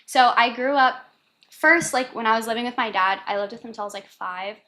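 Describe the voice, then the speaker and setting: A woman's voice: a little high, a little fast, pushed and bright. A young adult woman telling her life story as a podcast guest, in a chatty way, with lots of "like" fillers. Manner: chatty, heavy use of "like" as filler. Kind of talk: casual conversational storytelling.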